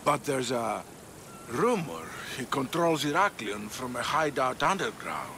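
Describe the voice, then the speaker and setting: A man's voice: sing-song, unhurried, pushed and bright, full character voice. An actor playing a tired, fearful old townsman who gives information cautiously and hesitantly in a video game cutscene. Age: older. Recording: rumbling, noisy background.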